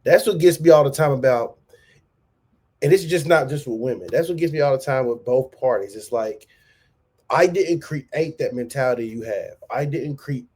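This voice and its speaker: A man's voice: a little high, warm tone. A man hosting a reaction channel, talking loosely in slang and repeating himself as he comments on a clip. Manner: loose, slangy and repetitive, restating points.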